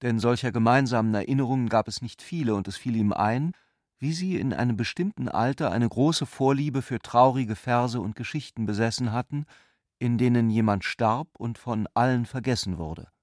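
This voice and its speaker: Guy with narrating a literary radio drama, mid pitch, very dry sound. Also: normal speed, darkish tone, no echo.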